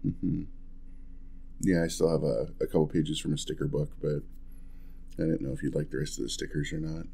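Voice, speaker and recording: A man playing an affectionate, playful young husband in an ASMR roleplay, talking softly and tenderly in a casual, hesitant way. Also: very deep voice, little pitch movement, brisk, vocal fry, slightly rough, full character voice. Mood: tender, cozy. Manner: soft, hesitant, chuckling, tender. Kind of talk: ASMR boyfriend roleplay, intimate casual chat.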